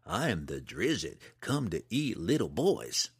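A man's voice: low voice, sing-song, takes it slowly, slightly rough, no echo, clean studio sound, exaggerated voice. A man voicing a teasing older farm boy who croons mockingly at his little brother. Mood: mock spooky. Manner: crooning, taunting.

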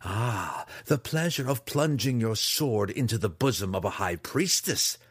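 A man voicing a fierce, derisive dark-elf weapons master, in a husky, raised voice. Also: fairly low pitch, very animated, a little slow, no room sound, studio quality, voice pushed up, heavily acted. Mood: taunting. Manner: growling, derisive. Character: fierce taunting male drow weapons master.

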